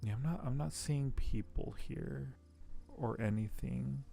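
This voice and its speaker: A man's voice: mid-range voice, slow pace, gravelly, dark tone, soft background music. A man streaming a game casually, thinking aloud as he plays and reading on-screen text to his viewers. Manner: thinks aloud while playing. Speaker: streamer playing a point-and-click game.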